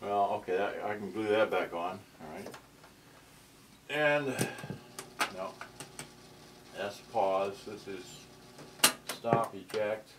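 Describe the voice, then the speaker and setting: Casting: man, 60s, slightly deep voice, halting off-the-cuff tinkerer muttering through a demo, light background noise. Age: older, 60s. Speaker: vintage boombox collector presenting his channel. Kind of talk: casual unscripted demonstration. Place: cluttered indoor storage room. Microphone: camera microphone, tripod then handheld.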